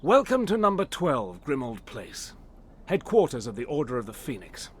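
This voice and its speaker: An actor playing a friendly, encouraging wizard guide in a video game, with a reassuring manner. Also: male voice, medium pitch, wildly swooping pitch, normal speed, fairly bright tone. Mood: welcoming, reassuring. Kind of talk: scripted video game cutscene acting.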